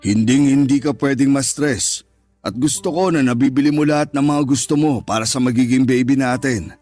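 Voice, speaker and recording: A male actor plays a wealthy Filipino businessman in a radio drama, a jealous and possessive man with a medium-pitched voice. He speaks in a controlling way that can promise care or threaten. Music plays faintly behind him. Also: normal speed, a little mellow, lightly acted. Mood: indulgent. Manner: controlling, alternately reassuring and threatening. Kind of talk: Tagalog radio drama acting.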